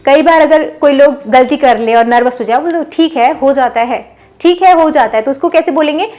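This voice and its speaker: A woman teaching spoken English, explaining in plain speech and saying each model sentence slowly and clearly for learners. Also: sits high, a little mellow. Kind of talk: bilingual language lesson.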